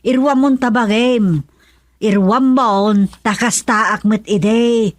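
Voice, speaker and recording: A woman's voice: fairly high pitch, steady tone, takes it slowly, dry recording. Practical mother, dry close sound. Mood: admonishing. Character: practical, supportive mother of a grown daughter. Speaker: radio drama actress. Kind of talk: Ilocano radio comedy drama acting.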